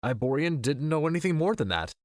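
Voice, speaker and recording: A man's voice: on the high side, sing-song, fast, no room sound, clean studio sound. A man playing an earnest, plain-spoken, easily frustrated young hero in a space audio drama.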